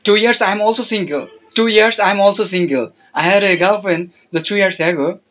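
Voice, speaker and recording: A man's voice: high voice, fairly even intonation, moderate pace, fairly bright tone, a little hiss or hum. Young Bangladeshi man, Bengali native, teasing video-call chat, easy laughter.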